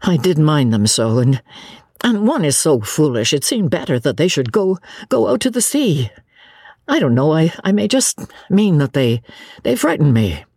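A woman reading the part of an earnest young man who speaks bluntly and haltingly. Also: low-pitched, sing-song, darkish tone, breathy edge, no echo, studio quality, heavily acted. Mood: insistent.

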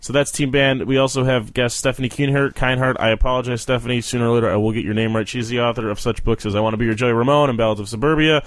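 A man's voice: mid-range voice, steady tone, very fast, hardly any pauses, no room sound. A man hosting a podcast in a casual comedic chat, swearing freely and teasing his guest. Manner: foul-mouthed, teasing. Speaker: podcast host.

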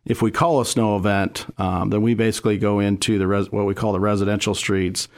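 A man's voice: fairly low pitch, flat delivery, brisk. A man from the city answering radio interview questions in a studio, explaining plainly with many fillers and restarts. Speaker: city street operations official.

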